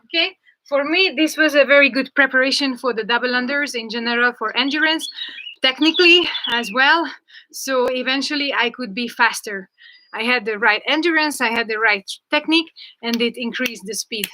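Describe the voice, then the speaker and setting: A woman's voice: high voice, normal speed, bright, forceful tone, a little hiss or hum, rough recording. A woman with a Hungarian accent, a jump rope instructor leading a live online class, upbeat and coaching, laughing often over a video call.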